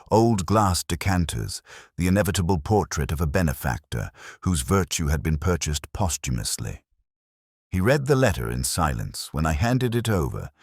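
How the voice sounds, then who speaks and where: A man reading a scripted first-person detective story in a measured, brooding, reflective way. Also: low voice, no echo, studio quality. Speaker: narrator.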